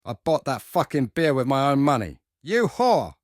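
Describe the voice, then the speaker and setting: A man's voice: mid-range voice, sing-song, normal speed, no room sound, studio quality, close to an everyday voice. A man voicing a crude, foul-tempered, self-pitying husband in a manga dub, talking belligerently. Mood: angry, aggrieved.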